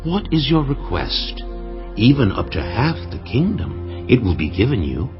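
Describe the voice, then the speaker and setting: A man's voice: mid-range voice, big pitch swings, measured pace. An actor playing a powerful, indulgent king in a dramatized scripture production, commanding and theatrical. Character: powerful Persian king, male, regal and indulgent. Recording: slightly dull sound, music behind.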